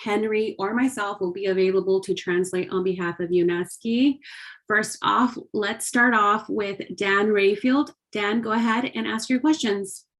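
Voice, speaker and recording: A woman's voice: medium pitch, fairly even intonation, a little slow, fairly bright tone. A woman moderating a media conference call in a polite, orderly way.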